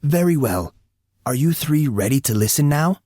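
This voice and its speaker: A man voicing a courteous, calm, knowing gentleman with formal, polite phrasing in an audiobook story. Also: fairly high pitch, normal speed, dry recording, studio quality, voice pushed up. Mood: courteous, amused.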